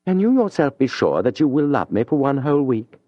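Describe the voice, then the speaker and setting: A man's voice: medium pitch, sing-song, a little fast, warm tone, no echo, pitched above the performer's own voice. A man reading an audiobook, voicing a proud, scheming young man who speaks with intensity, at times coldly controlled. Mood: icy. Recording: dull dry studio audio.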